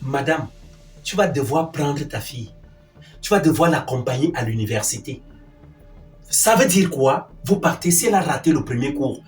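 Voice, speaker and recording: A man's voice: medium pitch, expressive, normal speed, close to an everyday voice. A man acting as an exasperated, domineering middle-aged father in a heated domestic drama, indignant and commanding, repeating his questions and orders. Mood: stern, aggrieved. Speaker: actor. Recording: roomy echo, distant mic, music.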